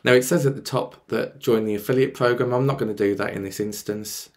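A man in his thirties with a mid-pitched, slightly croaky voice. He presents a casual screen-share tutorial, explaining each step and thinking aloud as he clicks through. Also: fairly even intonation, brisk. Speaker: presenter of music tech tutorials.

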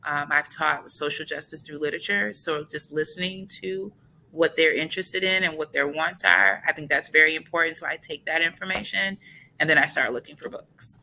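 A woman's voice: deep voice, fairly quick, bright, forceful tone, a little hiss or hum, low-quality recording. A woman teacher on a video call, talking in a conversational way with frequent restarts. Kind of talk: casual panel answer. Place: indoor room. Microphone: webcam on video call.

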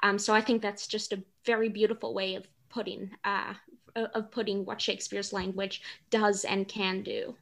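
A young woman speaking in a thoughtful academic discussion, choosing formal words slowly and hesitantly. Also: mid-range voice, level tone, vocal fry. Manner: hesitant, measured, formal phrasing. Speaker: director on a production panel.

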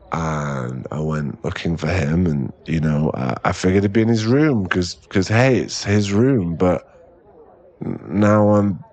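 A man's voice: deep voice, vocal fry, exaggerated voice. A man acting a shy, stammering young boyfriend in an ASMR roleplay audio drama. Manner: halting, stammering, repeats words. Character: young male millionaire boyfriend, shy, later tipsy and clingy.

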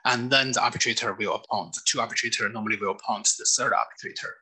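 A middle-aged man from mainland China with a Chinese accent lectures over slides in a webinar. His voice is very bright and a little husky, and his delivery is hesitant, with frequent fillers and restarted phrases. He speaks from an office desk into a webcam. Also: lowish voice, fast talker, low-quality recording. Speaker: lawyer giving a lecture.

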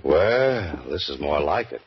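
Dry, joking man, mystery writer, 1940s radio play, very low voice, dull old broadcast. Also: very animated, takes it slowly, noisy background, heavily acted. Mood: dry, wary. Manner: dry, wisecracking. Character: wry male mystery writer turned adventurer. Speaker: lead actor. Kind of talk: 1940s radio drama acting.

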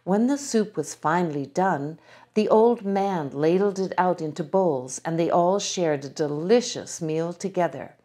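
A woman storyteller narrates from a written tale. Her voice sits low for a woman, with a warm, dark tone. She reads in a gentle, measured way.

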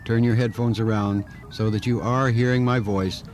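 A man narrating a scripted guided meditation in a calm, deliberate way, giving instructions with pauses. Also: medium pitch, music in the background.

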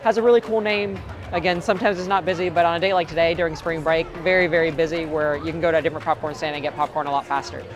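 Hoarse young man, quick theme park vlog. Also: fairly high pitch, level tone. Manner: chatty, frequent ums and uhs. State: hoarse, voice cracking. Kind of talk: casual tips vlog to camera. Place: outdoors in a busy theme park. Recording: outdoor crowd and ride noise behind.

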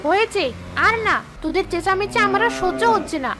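A woman's voice: extremely high pitch, fairly quick, background noise. A woman voicing a bossy, scolding female ghost schoolteacher in a children's cartoon. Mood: exasperated. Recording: faint cartoon music behind.

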